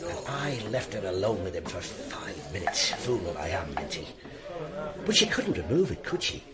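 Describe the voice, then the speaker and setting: A man's voice: low voice, very animated, normal speed, over a noisy crowd. A man acting a dogged, wry police inspector in a British radio drama, with a colloquial, slangy British delivery. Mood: brooding, animated.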